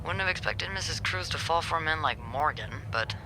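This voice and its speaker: An actress playing a wry, warm, teasing young hotel receptionist in a video game, with an expressive, conspiratorial way of talking. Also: female voice, sits low, a little fast, a little hiss or hum, poor audio. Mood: gossipy, playful. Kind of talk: naturalistic video game acting.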